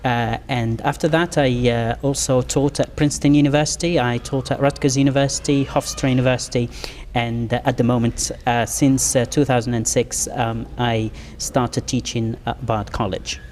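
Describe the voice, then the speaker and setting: A middle-aged man from Morocco, a professor guest, answering interview questions in a measured way with many pauses. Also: mid-range voice, steady tone, darkish tone, in a large hall, a little hiss or hum.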